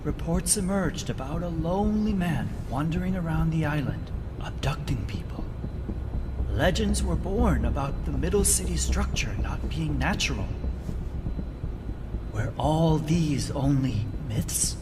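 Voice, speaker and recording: An actor playing an earnest male team strategist in a video game cutscene, speaking in a measured, dramatic way. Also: a little high, expressive. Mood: pensive. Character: earnest man, wounded team strategist recounting island legends. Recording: reverberant hall, clear background noise.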